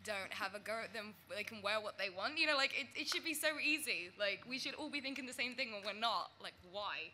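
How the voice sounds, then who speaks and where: A woman's voice: mid-range voice, expressive, a little fast, hardly any pauses, sharp tone, slight background noise. A woman on a panel speaking emphatically and indignantly. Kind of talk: panel discussion.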